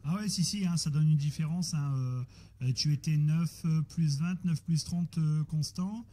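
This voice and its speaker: A man's voice: fairly high pitch, normal speed, mellow. A man chatting casually as an amateur radio operator, hesitant and often repeating himself. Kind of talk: casual radio chat, technical troubleshooting. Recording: noisy amateur radio audio with static.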